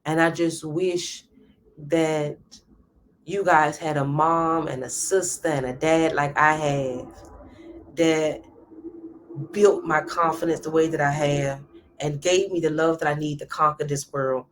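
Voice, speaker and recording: A woman's voice: sits low, steady tone, slow, a little hiss or hum. A woman in her thirties hosting a live stream, giving a passionate motivational talk straight to her viewers. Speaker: host.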